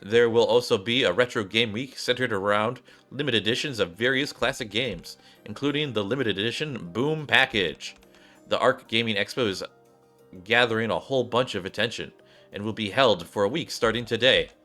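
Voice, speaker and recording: A man with a fairly low but bright voice reads game cutscenes aloud like a narrator. He drops in casual asides and rereads lines he trips on. Game music plays behind him. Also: moderate pace. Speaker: gaming streamer reading story scenes aloud.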